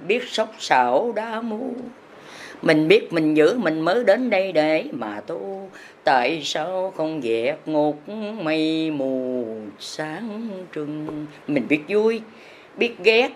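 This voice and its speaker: A woman chants her teaching in long, sing-song verse lines. She speaks very slowly in a fairly low voice and presses her listeners to change their ways. Faint noise sits under the recording. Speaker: religious teacher.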